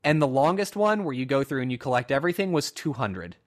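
A man's voice: mid-range voice, very animated, fast. A young man co-hosting a casual gaming podcast, rambling and joking with friends, often restarting his sentences. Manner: rambling, self-interrupting, joking. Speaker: podcast co-host. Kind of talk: casual chat among friends.

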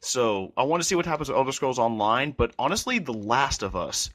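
A man's voice: mid-range voice, very animated, fairly quick, fairly bright tone. A man talking casually and informally as one of the hosts of a podcast. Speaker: podcast host. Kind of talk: casual chat.

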